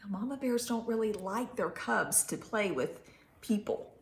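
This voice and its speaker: A woman teacher reads a story aloud to small children at a medium pitch. She speaks expressively, stretching important words and checking in with simple questions. The recording has a roomy echo and some faint background noise. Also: vocal fry. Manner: animated, simple teacherly phrasing with rhetorical questions and drawn-out emphasis. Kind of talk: expressive picture-book read-aloud with questions to young children.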